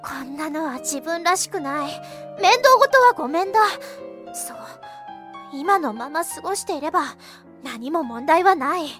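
A woman playing a guarded, blunt, irritable high-school girl in an anime-style voice drama with first-person narration. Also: expressive, normal speed, a bit bright, faint music behind. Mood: flustered, stubborn.

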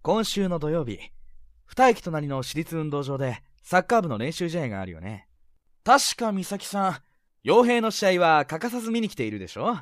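Man acting a sly, animated teenage senior boy. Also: fairly high pitch, moderate pace, dry recording, clean studio sound. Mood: confident. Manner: smooth-talking, playful, insinuating. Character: sly, teasing high school senior boy, confident schemer. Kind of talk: Japanese romantic-comedy voice drama acting.

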